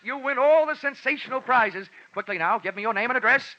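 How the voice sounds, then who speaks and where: An actor playing a chirpy, slick radio disc jockey with gushing, sing-song sales patter. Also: male voice, very high-pitched, expressive, fairly quick, pushed and bright, pitched above the performer's own voice, heavily acted. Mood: gushing, excited. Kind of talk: 1940s radio quiz host patter. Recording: dull old radio recording with music.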